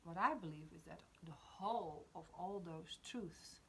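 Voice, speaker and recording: A woman in her fifties with a Dutch accent, reflecting in a spiritual conversation, often pausing to search for words. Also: lowish voice, pushed and bright, a little hiss or hum. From Netherlands. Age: 50s. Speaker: discussion participant.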